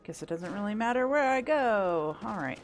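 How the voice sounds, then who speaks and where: A woman's voice: mid-range voice, animated, moderate pace, slight background noise. A woman giving casual video game playthrough commentary, thinking aloud with mild exclamations.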